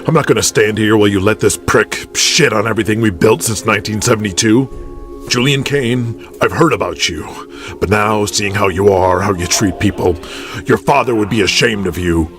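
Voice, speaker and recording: An actor playing a proud, principled veteran of the wrestling business in an audio drama, earnest and dramatic. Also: male voice, on the low side, normal speed, over music, natural voice. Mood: indignant. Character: proud, principled veteran male wrestling man, indignant and solemn.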